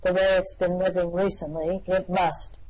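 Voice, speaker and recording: A performer playing a calm, bodiless custodian of a machine with a slow, deadpan, matter-of-fact delivery in an amateur radio-play reading. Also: mid-range voice, lightly acted, low-quality recording.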